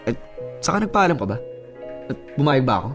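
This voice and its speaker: A man's voice: medium pitch, sing-song, fairly quick. A man playing a jealous, possessive boyfriend in a radio drama, hurt and insistent as he questions and pleads. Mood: hurt, suspicious. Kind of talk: Filipino radio drama acting. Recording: music bed under the voice.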